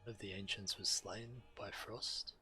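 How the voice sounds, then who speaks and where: A man's voice: lowish voice, fairly even intonation, measured pace, sharp tone, poor audio. A man streaming a video game, reading the quest text aloud. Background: game music.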